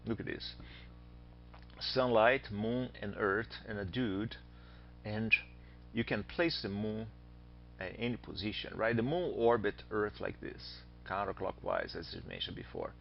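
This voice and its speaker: A man's voice: lowish voice, animated, unhurried, vocal fry, a little hiss or hum. A man from Brazil with a Brazilian Portuguese accent, teaching astronomy in a chatty recorded lecture, quizzing his students and making joking asides. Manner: chatty, asks students questions, jokes aside. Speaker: astronomy instructor. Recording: dull lo-fi voice recording.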